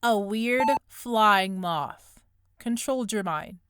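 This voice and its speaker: A woman's voice: medium pitch, takes it slowly, very noisy, close to an everyday voice. A woman voicing an exasperated young woman who scolds and frets over a reckless friend. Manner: scolding, emotional.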